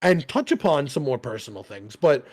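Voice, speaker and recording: A man's voice: mid-range voice, sing-song, moderate pace, a little mellow. A man in his thirties co-hosting a podcast in casual chat, rambling and profane, often laughing at himself. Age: 30s. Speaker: podcast co-host.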